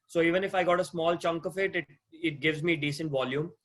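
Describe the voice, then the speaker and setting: A man's voice: fairly high pitch, fairly quick, fairly bright tone. A man in his thirties with a non-native English accent, lecturing a class over a video call, talking conversationally, asking questions and restarting phrases. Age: 30s. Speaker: guest lecturer, mortgage agent.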